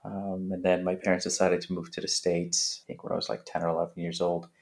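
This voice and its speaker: Podcast guest: man, late 30s, from Afghanistan, very low voice, halting delivery. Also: level tone, gravelly, a little mellow. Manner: halting, many restarts and fillers. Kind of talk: casual interview chat.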